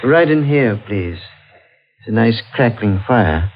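Slow, sinisterly courteous blind host. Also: male voice, on the low side, animated. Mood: politely evasive. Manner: polite, formal, deliberate. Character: courteous, sinister blind man hosting stranded travelers. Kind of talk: 1940s radio thriller acting. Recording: muffled, noisy 1940s radio recording.

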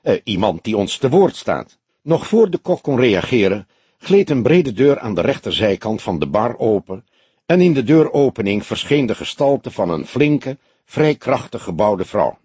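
A man's voice: medium pitch, big pitch swings, normal speed, a little mellow, slightly rough, no echo. A man reading a detective novel as an audiobook narrator, in scripted storytelling style.

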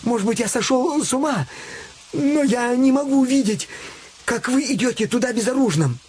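Anxious, secretive doctor. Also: male voice, extremely high pitch, lively intonation, normal speed, a little mellow, a little hiss or hum. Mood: secretive, urgent. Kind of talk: theatrical audio play acting.

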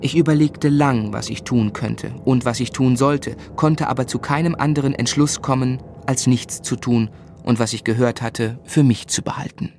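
An actor playing an earnest, courteous young gentleman who narrates and speaks in a formal, measured way in a German literary radio drama. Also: medium pitch, a little hiss or hum, close to an everyday voice. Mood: troubled.

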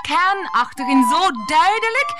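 A woman's voice: very high-pitched, lively intonation, a little slow, over loud music. A woman playing a gushing, naive, earnest and pious mistress in a radio play. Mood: delighted, earnest.

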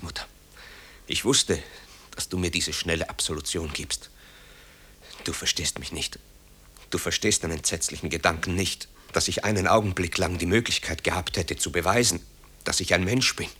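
A low, husky male voice, playing a tormented composer son in a radio play. He is self-loathing and haunted, declaiming his guilt with brooding intensity. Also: fairly even intonation, normal speed, bright, forceful tone, exaggerated voice. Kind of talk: dramatic radio play acting.

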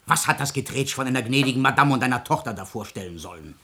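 A man's voice: mid-range voice, expressive, fast talker, sharp tone. An actor playing a blunt, hot-tempered but loving older musician father in a classic radio drama, with an earthy, exclamatory delivery. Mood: angry, blustering. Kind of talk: classic German stage drama radio acting.

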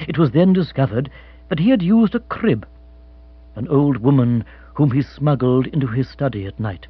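A man voicing a grave, formal valet who speaks in a measured, deferential way. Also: on the high side, breathy edge, no echo, lo-fi sound.

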